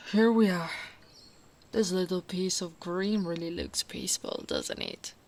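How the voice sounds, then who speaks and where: An Italian woman playing a tall, devoted female orc royal guard in an ASMR roleplay, speaking softly and intimately as if to the one listener she loves. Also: lowish voice, steady tone, a little hiss or hum. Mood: soft, confiding. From Italy. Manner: slow, intimate, often dropping to a whisper.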